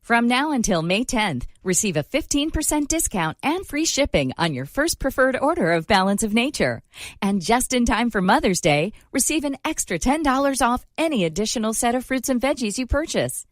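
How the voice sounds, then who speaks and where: Lively, higher-pitched woman announcer, dry sound. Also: normal speed, no room sound. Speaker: advertisement announcer. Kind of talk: scripted promotional read.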